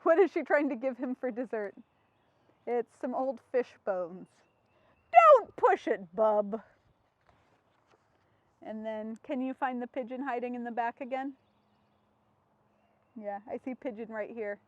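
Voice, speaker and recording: A woman hosting an interactive children's storytime, expressive and full of questions for young listeners. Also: on the high side, moderate pace, no echo, dull audio. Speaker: storytime host reading to children.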